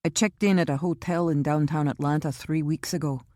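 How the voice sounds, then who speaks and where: A woman doing a calm, protective man. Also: sits low, no room sound, studio quality, lightly acted. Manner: measured, reassuring, grimly insistent. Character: calm, protective older man, steady and wry.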